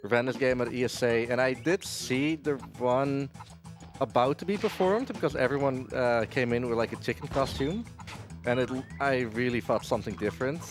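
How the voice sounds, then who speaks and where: A man's voice: mid-range voice, moderate pace. A man giving casual live speedrun commentary at a gaming marathon as he plays. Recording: game music behind the voice.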